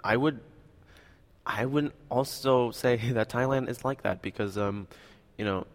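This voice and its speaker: A man's voice: mid-range voice, a little slow, long reverb tail. A young man from Indonesia, answering interview questions in a hesitant, casual way with many fillers. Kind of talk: casual conversation.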